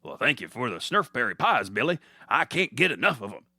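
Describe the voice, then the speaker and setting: A hearty old small-town mayor in a comic audio story, put on by a male narrator. He is folksy and grateful, with an animated lilt, recorded dry. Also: medium pitch, fairly quick, vocal fry, no room sound, heavily acted. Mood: pleased.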